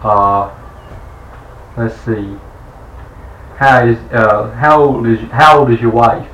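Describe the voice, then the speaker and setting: A man's voice: medium pitch, slow pace, a bit bright, slight background noise. A man interviewing, asking questions with fillers and restarts. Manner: hesitant, many fillers and restarts as he asks. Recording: roomy, from far off the mic.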